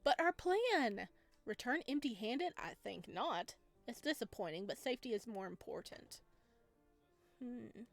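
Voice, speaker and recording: A woman's voice: a little high, sing-song, measured pace, creaky. A woman giving chatty, playful commentary on a playthrough, reading lines aloud as she goes. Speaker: game streamer. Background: game music.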